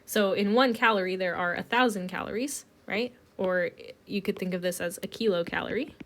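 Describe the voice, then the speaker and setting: A woman's voice: medium pitch, normal speed, a little hiss or hum. A woman teaching a recorded chemistry lecture while getting over a cold, talking through each step with plenty of ums. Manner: relaxed, explains step by step with many ums. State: recovering from a cold.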